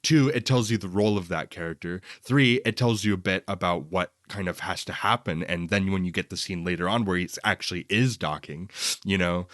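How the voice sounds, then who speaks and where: A man's voice: sits low, a little fast, a bit bright, dry recording, clean studio sound. A man co-hosting a film podcast in a casual, conversational and rambling way.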